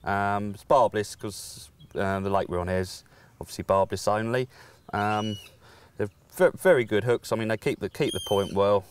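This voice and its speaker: Low-voiced man hesitating with "um"s. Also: moderate pace, hardly any pauses, a little hiss or hum. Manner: hesitant, many "um"s, explains plainly. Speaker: angler, guest. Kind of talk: casual outdoor interview. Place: lakeside outdoors, seated.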